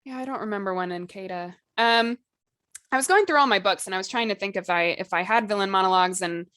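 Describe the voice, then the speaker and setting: A woman chats as a podcast co-host in a dry, close recording. Her pitch sits in a middle range for a woman. She talks casually and conversationally, hedging as she goes. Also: fast, a bit bright, smooth, no echo.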